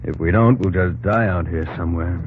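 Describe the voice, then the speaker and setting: Man acting blunt suspicious frontier officer, lowish voice, old radio drama, tinny like a phone line. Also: a little fast, noisy background, close to an everyday voice. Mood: weary awe. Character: wary, blunt frontier expedition officer, distrustful. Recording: tinny old radio recording, loud music behind.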